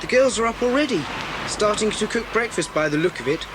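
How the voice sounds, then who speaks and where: A woman's voice: medium pitch, expressive, brisk, lightly acted. A woman playing a sensible young boy in a children's audio drama, speaking in a matter-of-fact manner. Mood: dry, practical. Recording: noisy old cassette dramatisation.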